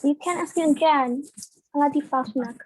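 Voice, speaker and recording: A woman's voice: high-pitched, normal speed, a little mellow, dry recording, rough recording. A woman with an Indonesian accent informally moderating an online session and calling on participants in English.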